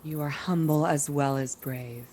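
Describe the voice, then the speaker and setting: A woman's voice: low voice, a little slow, mellow. A woman playing an earnest, melodramatic estranged lover of the hero in a comic radio play. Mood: adoring.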